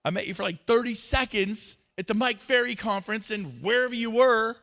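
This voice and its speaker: A man's voice: high voice, fairly quick, pushed and bright. A man giving a motivational talk on stage, acting out both sides of conversations with others. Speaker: real estate speaker. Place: event stage.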